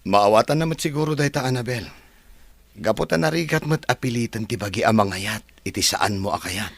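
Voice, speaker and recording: A man's voice: mid-range voice, lively intonation, fairly quick, creaky, no echo. A man with an Ilocano accent playing an earnest, devoted suitor in a radio drama. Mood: tender, resigned.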